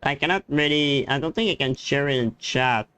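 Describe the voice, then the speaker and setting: Smooth-voiced male streamer. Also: mid-range voice, normal speed, bright, forceful tone, dry recording. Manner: hesitant, rambling, repeating words. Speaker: game streamer. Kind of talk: casual live-stream commentary and chat.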